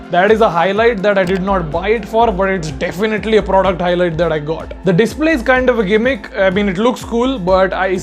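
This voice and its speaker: A young man giving a casual, explanatory product review in an informal way. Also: sits high. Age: young adult. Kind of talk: casual spoken review to camera.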